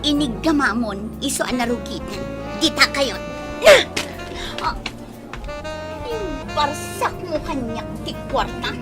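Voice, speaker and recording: A woman's voice: high-pitched, lively intonation, slow pace, long pauses. An actress playing a haughty, scornful rich young woman in an Ilocano radio drama, theatrical and taunting. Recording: loud music bed behind voice.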